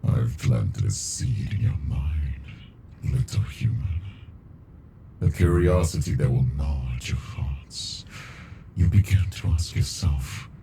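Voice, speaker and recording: Extremely deep, gravelly alien captive. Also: male voice, expressive, mellow, a little hiss or hum, heavily acted. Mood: menacing, teasing. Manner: slow, menacing, condescending, breathy murmuring. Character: captive male alien, ancient, arrogant, amused predator toying with humans. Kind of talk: ASMR monster roleplay, scripted monologue.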